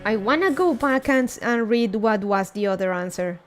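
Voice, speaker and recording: Female game streamer, higher voice, casual readalong delivery with reactions, light background music. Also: expressive, normal speed. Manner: casual, reacting aloud between read passages.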